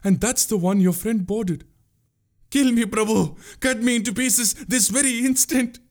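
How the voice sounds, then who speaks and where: A man's voice: sits high, moderate pace, darkish tone, pitched above the performer's own voice, close to an everyday voice. A man voicing a fiery, distraught young woman in a historical tale, breathless and accusing. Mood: desperate.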